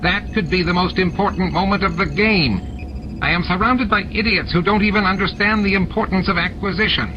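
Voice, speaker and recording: Old alien tyrant, played by a male reader: high-pitched, imperious, scolding his underlings in an audiobook, over music. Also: fairly quick, highs cut off, background noise, close to an everyday voice. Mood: exasperated, threatening. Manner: scolding, domineering.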